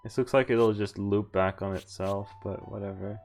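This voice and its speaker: A man's voice: lowish voice, fairly even intonation, moderate pace, creaky, darkish tone. Casual male game streamer, faint music behind. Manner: casual, sweary. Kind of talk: reading visual novel text aloud with casual commentary.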